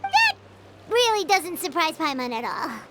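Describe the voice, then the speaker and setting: A woman's voice: very high-pitched, huge pitch swings, a little slow, heavy background noise, exaggerated voice. A woman voicing a tiny, childlike female fairy companion in a video game, curious, perky and blunt. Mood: curious, casual. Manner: refers to self in third person, perky. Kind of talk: animated game dialogue. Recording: loud background music.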